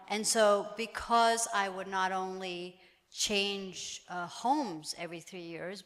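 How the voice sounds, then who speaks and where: A woman's voice: mid-range voice, a bit bright. A woman panel guest and actor, talking casually and chattily, with many restarts and easy laughs. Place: event hall with audience. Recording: long hall reverb.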